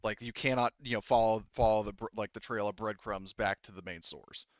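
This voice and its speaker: A man running a tabletop game as game master, explaining things casually in a halting, repetitive way. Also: lowish voice, fairly even intonation, dry recording, lo-fi sound. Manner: halting, explanatory, repeats himself.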